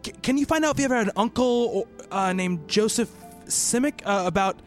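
Guy acting as thoughtful worried researcher in a radio mystery, kinda high voice, music behind him. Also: expressive, fairly quick. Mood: hesitant, concerned. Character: worried, rational male researcher narrating his own eerie mystery. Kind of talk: radio play dialogue and first-person narration.

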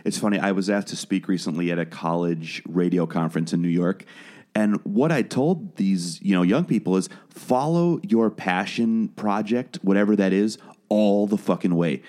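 A man with a fairly low voice, talking loosely as a podcast guest. He restarts his sentences and doubles up on words as he works through a long story about his career. He sounds relaxed and conversational. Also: normal speed. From Wales. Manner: chatty, frequent restarts and repeated words. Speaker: guest, musician and podcast producer. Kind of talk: casual interview conversation.

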